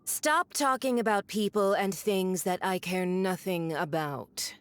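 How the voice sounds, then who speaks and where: A woman's voice: mid-range voice, unhurried, heavy background noise, natural voice. A woman voicing a haughty, cruel noblewoman villain who speaks in a cold, condescending, mocking way in a video game. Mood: contemptuous. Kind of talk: video game cutscene acting.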